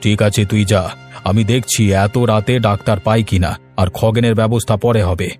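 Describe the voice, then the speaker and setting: Man voicing a gruff, lower-pitched village headman, commanding and stern, for a storybook cartoon. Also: fairly even intonation, moderate pace, a little mellow, faint music behind, natural voice. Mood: concerned. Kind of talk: cartoon voice acting.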